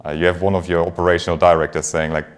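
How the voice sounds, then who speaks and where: A man's voice: low-pitched, little pitch movement, very fast. A man with a German accent, a native German speaker, giving a conversational conference presentation as a finance executive, engaging the audience with light humour.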